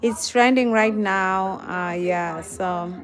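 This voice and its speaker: A woman's voice: medium pitch, slow, a crowd in the background. A tired young woman talking casually on a livestream, greeting viewers and reading out their comments.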